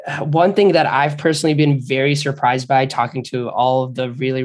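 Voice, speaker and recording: A young man from Bangladesh hosting a podcast interview, speaking earnestly and at length, appreciative of his guest. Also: medium pitch. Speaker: podcast host.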